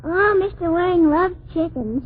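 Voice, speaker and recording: A man's voice: extremely high pitch, normal speed, pitched above the performer's own voice. A man voicing a mischievous, literal-minded little girl with innocent, cheeky comebacks in a 1940s radio comedy act. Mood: curious, cheeky. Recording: tinny, hissy 1940s radio broadcast.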